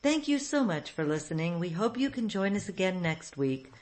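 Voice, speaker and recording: A woman's voice: fairly low pitch, big pitch swings, normal speed, darkish tone. A woman podcast host reading a scripted sign-off to listeners.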